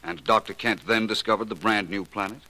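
An actor playing a suave, probing gentleman detective in a 1940s radio drama, polite and pointed in his questioning. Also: on the low side, fairly even intonation, fairly quick, poor audio. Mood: probing, wry.

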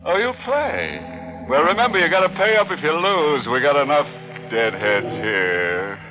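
An actor playing a mock-courteous, darkly teasing horror host on a 1940s radio mystery, theatrical and morbid. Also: male voice, a little high, sing-song, moderate pace. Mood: gleefully ghoulish. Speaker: host and narrator. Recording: dull old radio broadcast with music.

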